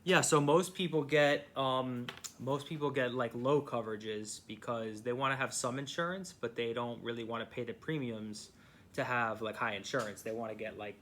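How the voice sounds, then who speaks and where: A man's voice: medium pitch, normal speed, fairly bright tone. A man speaking as a personal injury lawyer, giving casual, blunt advice with repeated phrases and restarts. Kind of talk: casual phone advice to a client. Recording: clear background noise.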